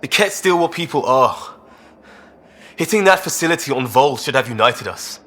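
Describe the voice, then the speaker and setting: A man's voice: mid-range voice, lively intonation, fairly bright tone, lightly acted. Earnest, passionate young man, acted by a man. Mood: frustrated, worked up. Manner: earnest, halting when worked up. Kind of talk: naturalistic video game voice acting.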